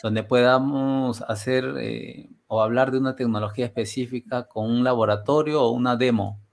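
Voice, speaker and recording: A man's voice: mid-range voice, steady tone, normal speed, gravelly. A middle-aged man hosting a casual video-call interview on a headset, talking reflectively and slipping into Portuguese-flavored Spanish. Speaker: podcast host. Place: home studio room.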